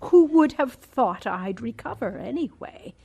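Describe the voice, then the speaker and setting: Elderly rich lady, slow deliberate halting speech, breathy, coldly condescending, quietly menacing, audio drama. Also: fairly high pitch, animated, exaggerated voice. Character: cold, genteel old rich woman recovering from stroke, quietly menacing.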